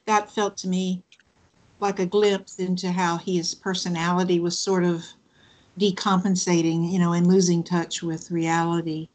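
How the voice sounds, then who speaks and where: A woman's voice: lowish voice, flat delivery, measured pace, darkish tone. A woman talking casually on a video call as she gives feedback as a participant. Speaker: table-read participant giving feedback.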